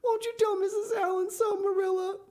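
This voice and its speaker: A woman voicing a dramatic, tearful orphan girl who pleads in a high voice. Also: flat delivery, a little fast, a little mellow. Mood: sobbing.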